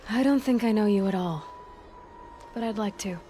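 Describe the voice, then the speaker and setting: A woman's voice: medium pitch, normal speed, warm tone, faint music behind, natural voice. A woman acting a resolute young huntress heroine in a video game, dry and direct. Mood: wry, sincere.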